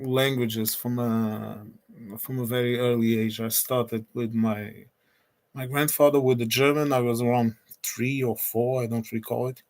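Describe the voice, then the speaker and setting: Man, forties, Portuguese accent, unhurried pace, mid-range voice, halting unscripted stream talk. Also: mid-range voice. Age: 40s. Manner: hesitant, pauses often with restarts and fillers. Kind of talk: unscripted solo livestream chat.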